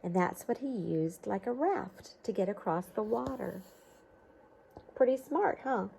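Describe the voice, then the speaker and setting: A woman's voice: medium pitch, animated, measured pace, gravelly, dark tone, a little hiss or hum, poor audio. A woman reading a picture book aloud to children, adding simple encouraging explanations and checking questions as she goes. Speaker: storybook reader for children. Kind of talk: expressive picture-book read-aloud with chatty asides to young listeners.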